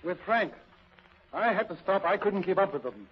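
An actor playing a genial, weary aging major in a 1930s radio drama, theatrical and effusive. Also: male voice, sits high, animated, normal speed, breathy edge. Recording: muffled, noisy vintage radio recording.

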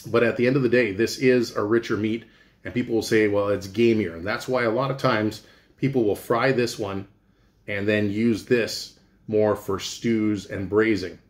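A man's voice: fairly low pitch, fairly even intonation, moderate pace. A middle-aged man, a chef giving an informal, folksy cooking demonstration and explaining as he goes. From Canada. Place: small indoor room with hard walls. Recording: echoey room.

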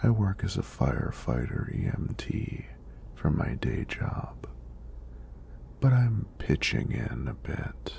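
A man playing a friendly, teasing EMT in a soft-spoken ASMR roleplay, with a deep, breathy, gravelly voice and an unhurried, reassuring manner. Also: heavily acted. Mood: playful, easygoing. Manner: unhurried, reassuring, lightly playful. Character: friendly, teasing young male EMT doing a screening.

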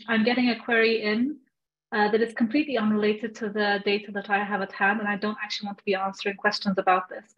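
A woman's voice: mid-range voice, fairly bright tone. A young adult woman informally moderating a webinar Q&A and relaying questions over a video call. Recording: dull, lo-fi video call audio.

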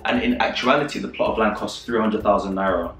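A man in his thirties hosting a casual advice vlog to camera, animated and repeating phrases for emphasis. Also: fairly low pitch, fast, echoey room, recorded from a distance, soft background music. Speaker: property channel host.

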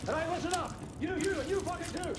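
An actor playing a frantic, foul-mouthed field medic in a video game, barking agitated orders. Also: male voice, very high-pitched, quick pace, a crowd in the background. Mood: frantic, commanding.

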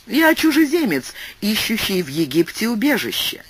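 A man's voice: high-pitched, huge pitch swings, moderate pace, fairly bright tone, husky, pitched above the performer's own voice, heavily acted. A man reading as Zadig, a wise young nobleman. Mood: reasoned.

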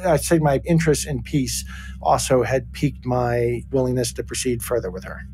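Man, mid pitch, interview clip, candid and digressive. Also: moderate pace, a little mellow. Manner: rambling, blunt, occasionally swearing. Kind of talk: interview excerpt.

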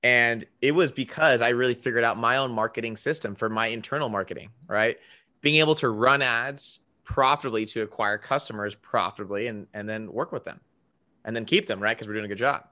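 A man's voice: medium pitch, a little fast, sharp tone. A man in his thirties hosting a video-call interview, upbeat and salesy, often tagging his sentences with "right?". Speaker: podcast host. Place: home room with a framed poster. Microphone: desk podcast mic on a video call.

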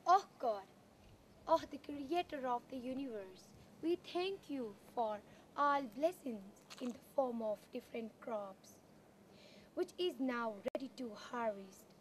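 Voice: medium pitch, expressive, measured pace, slight background noise, close to an everyday voice, low-quality recording. A student playing a devout religious leader who gives a formal, declamatory harvest blessing in a school drama. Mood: solemn, reverent. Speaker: student performer. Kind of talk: recited prayer and speech in a school drama. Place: outdoors in a garden, camera at a distance.

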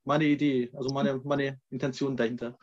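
A man's voice: medium pitch, flat delivery, a little fast. A middle-aged man from Iran, speaking hesitantly as an activist guest on a video call, with many fillers and restarts. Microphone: laptop or webcam mic on a video call. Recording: dull lo-fi video call audio.

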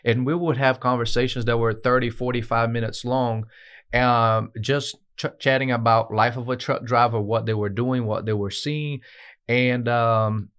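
Brazilian guy in his 30s, smooth clear voice, earnest and chatty, lots of ums. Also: medium pitch, steady tone, fairly bright tone. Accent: Brazilian Portuguese. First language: Portuguese. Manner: earnest, with frequent "uh" and "um" pauses. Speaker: guest, dispatch manager. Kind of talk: casual interview storytelling. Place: podcast studio with branded backdrop. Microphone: desk podcast mic on a stand.

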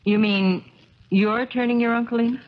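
A woman's voice: on the high side, fairly even intonation, normal speed, lightly acted. Actress as an anxious woman, muffled radio. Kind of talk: 1970s radio drama acting. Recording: dull, muffled 1970s radio recording.

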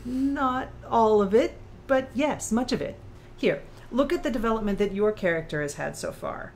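A woman's voice: on the high side, lively intonation, normal speed, darkish tone, slight background noise. A woman performing an analytical, probing author character in audiobook dialogue. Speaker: audiobook reader.

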